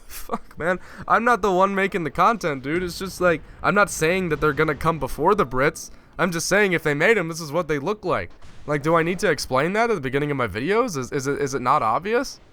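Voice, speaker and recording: A young gaming YouTuber from Finland speaks in a casual vlog with a bright, forceful voice. He rants in an exasperated, sarcastic way. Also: fairly high pitch, lively intonation, fairly quick.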